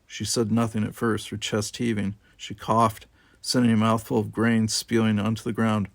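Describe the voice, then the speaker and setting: Man, audiobook reader, lowish creaky voice, dramatic scripted narration, dry recording. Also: completely flat delivery, normal speed, no room sound.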